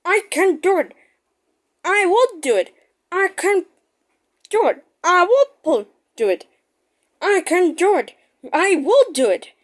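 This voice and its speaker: A woman's voice: very high voice, sing-song, slow pace, pauses often, pitched above the performer's own voice, full character voice. A woman voicing a small, determined male engine in a storybook read-aloud, chanting eagerly.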